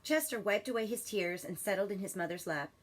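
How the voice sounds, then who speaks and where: A woman teacher reading a children's story expressively and pausing to ask questions in a deliberate, instructive manner. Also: medium pitch, lively intonation.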